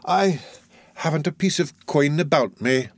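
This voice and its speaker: A man voicing a crafty, wheedling old male fence in a storybook reading, slow and fawning. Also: a little high, no echo, pitched above the performer's own voice. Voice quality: oily, fawning, drawn-out.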